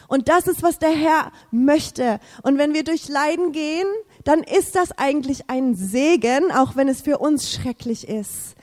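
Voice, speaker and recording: A woman's voice: high-pitched, a little mellow, in a large hall. A woman, not a native German speaker, giving an emotional faith testimony, fervent and repetitive, sometimes searching for words. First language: English.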